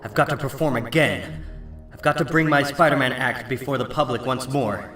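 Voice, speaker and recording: A man's voice: medium pitch, normal speed, fairly bright tone, faint music behind, natural voice. A man playing an earnest, anguished teenage superhero in a melodramatic comic-book reading. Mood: agitated, resolute. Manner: emphatic, theatrical, self-questioning.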